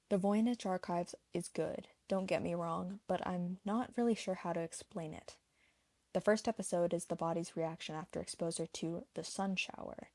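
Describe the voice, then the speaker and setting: Low-pitched woman narrating, creaky voice. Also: warm tone, no echo. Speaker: narrator of a video essay. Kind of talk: scripted explainer narration with personal opinions.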